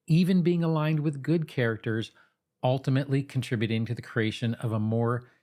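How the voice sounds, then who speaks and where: A man narrating an explanatory, scripted lesson as a host, with conversational asides and a measured, instructive manner. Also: medium pitch, darkish tone. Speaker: host of tabletop roleplaying advice videos.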